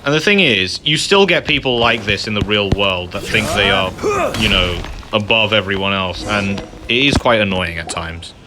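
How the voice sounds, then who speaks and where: A man's voice: fairly low pitch, normal speed, background noise. A man hosting a gameplay video, giving casual, conversational commentary as he plays. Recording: game music behind the voice.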